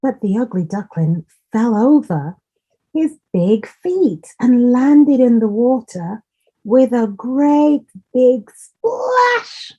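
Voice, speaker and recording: A woman reads a children's story at a very slow, deliberate pace. Her voice is mellow and somewhat husky, and she is expressive, addressing young listeners directly. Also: fairly high pitch, muffled recording.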